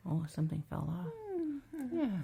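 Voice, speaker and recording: A woman reading a bedtime storybook aloud to her child as a mother, pausing for chatty asides and questions to engage the child. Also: low voice, very animated, creaky, dark tone, slightly rough. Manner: pauses to prompt and engage the child.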